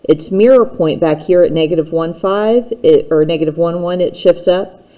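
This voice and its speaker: A woman's voice: fairly low pitch, normal speed, dull audio. A woman teacher giving a step-by-step instructional math lesson, speaking plainly and patiently straight to the learner.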